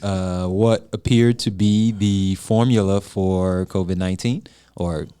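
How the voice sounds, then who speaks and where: A man co-hosting a podcast who speaks in a measured, deliberate way with frequent pauses to think. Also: sits low, steady tone, warm tone, clean tone. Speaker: podcast co-host. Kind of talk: casual podcast chat.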